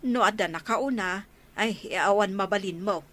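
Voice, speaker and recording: An actress playing a caring middle-aged mother in a radio drama, with a gentle, probing way of talking. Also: medium pitch, moderate pace, natural voice. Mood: concerned.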